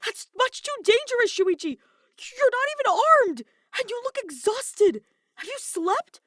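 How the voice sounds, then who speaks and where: A fretful, well-mannered robot boy, played by a woman in a story reading. He is earnest and stubbornly protective, with formal phrasing and a voice pitched up from plain narration. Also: big pitch swings, unhurried, a bit bright, no echo, studio quality, exaggerated voice. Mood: alarmed. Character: fretful, earnest, polite young male robot.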